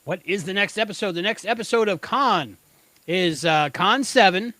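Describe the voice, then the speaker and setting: A man's voice: high-pitched, animated, moderate pace, bright, forceful tone, no room sound. A man co-hosting a podcast with casual banter and playful, quippy interjections. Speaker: podcast co-host.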